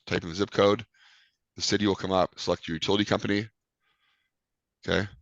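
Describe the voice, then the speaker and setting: A man's voice: sits low, little pitch movement, fairly quick, no echo, muffled recording. A man with a cold leading a sales training call, talking loosely with many ums. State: sick with a cold.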